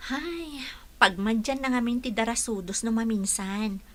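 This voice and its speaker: Woman as bright-voiced dutiful caregiver. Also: fairly high pitch, measured pace. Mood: concerned. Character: dutiful young female caregiver, gently concerned. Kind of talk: Ilocano radio drama acting.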